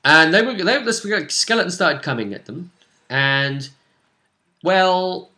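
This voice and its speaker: A man's voice: sits high, lively intonation, measured pace, sharp tone. A man hosting as game master, casually recapping a tabletop roleplay session. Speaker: game master and show host.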